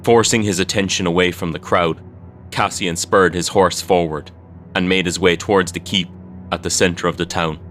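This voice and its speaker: A man's voice: sits low, steady tone, normal speed, a bit bright, soft background music. A man presenting a story podcast in a scripted narration style, as host and storyteller. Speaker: podcast host and fiction writer. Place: indoors at a desk at home.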